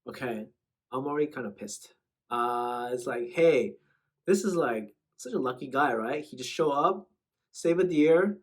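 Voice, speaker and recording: A man's voice: mid-range voice, expressive, unhurried, a little hiss or hum. A man hosting casual reaction commentary in a slangy, bantering way. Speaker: host.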